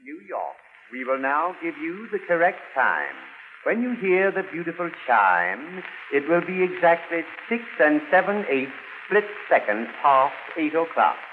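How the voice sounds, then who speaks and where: A man playing a solemn, ceremonious early radio time announcer in a comedy sketch, speaking with deliberate pomp. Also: fairly high pitch, sing-song. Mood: solemn, pompous. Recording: noisy, phone-like vintage radio recording.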